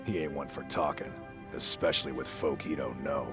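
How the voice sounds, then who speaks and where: An actor playing a plain-spoken, gruff ex-soldier shopkeeper in a fantasy video game, with a folksy, blunt manner. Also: male voice, rumbling low, fairly even intonation, normal speed. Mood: plain, friendly. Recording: music behind the voice.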